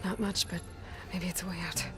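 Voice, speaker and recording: An actress playing a defiant, determined young female warrior in a cinematic video game, sharp-tongued and given to muttering. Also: fairly low pitch, flat delivery, fairly quick, over music, lightly acted. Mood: tense muttering.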